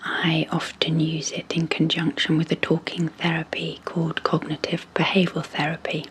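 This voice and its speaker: A woman speaking in a breathy half-whisper as an ASMR host, slowly and gently, with long pauses, introducing sleep hypnosis. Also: deep voice, completely flat delivery, rough recording.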